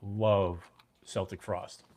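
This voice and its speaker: Middle-aged guy from brooklyn, kinda mixed ny jersey accent, lowish voice, rambly livestream host chatting with viewers. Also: little pitch movement, very slow, darkish tone. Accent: mixed New York and New Jersey. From Brooklyn, New York. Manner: rambling, talks to chat viewers by name. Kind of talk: casual livestream chat.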